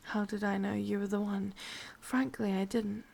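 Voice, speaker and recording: A woman playing a sadistic, possessive, lovesick witch in a whispered ASMR roleplay, speaking intimately in an English accent with a breathy, husky voice. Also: mid-range voice, little pitch movement, darkish tone. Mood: teasing, secretive. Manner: slow, intimate, menacingly tender.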